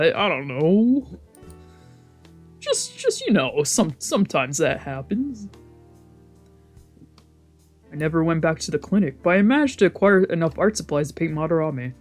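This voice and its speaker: A streamer of a visual novel reading the text aloud and adding casual, wry asides. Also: mid-range voice.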